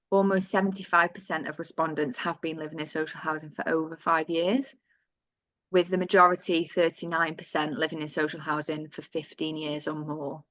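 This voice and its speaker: A woman's voice: low voice, fairly bright tone, no echo. A woman presenting survey findings in a webinar. She talks conversationally and corrects herself as she goes. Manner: conversational, with self-corrections and asides. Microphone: computer mic on a video call. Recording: dull, lo-fi webinar audio.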